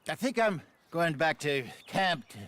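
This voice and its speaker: A man's voice: fairly high pitch, animated, measured pace, a bit bright, a little hiss or hum. A man acting in a video game as a wry, folksy old American outlaw and hunter with a good-humoured temper. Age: older.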